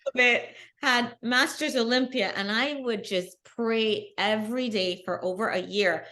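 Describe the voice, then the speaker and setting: A middle-aged woman, a guest on an interview podcast, telling her story in an earnest, animated way. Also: on the high side, unhurried. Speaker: guest, bikini athlete. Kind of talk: casual interview.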